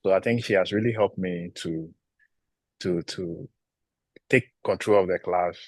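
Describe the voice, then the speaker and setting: Deep-voiced Ghanaian man, dry sound. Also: unhurried, dry recording. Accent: Ghanaian English. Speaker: international PhD student and teacher. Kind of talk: interview answers.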